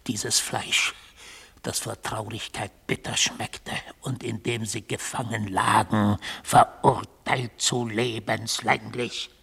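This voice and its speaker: A severe, godlike accuser in a literary radio play. His mid-pitched voice is very raspy and creaky, with a hard bright edge, and his delivery is solemn and rhetorical. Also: heavily acted. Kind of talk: literary radio drama monologue.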